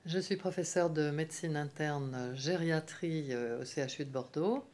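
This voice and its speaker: A middle-aged woman from Paris, a geriatrics professor, explaining her department in a measured way with frequent hesitations. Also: sits low, a little mellow. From Paris, France. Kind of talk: explanatory interview answers.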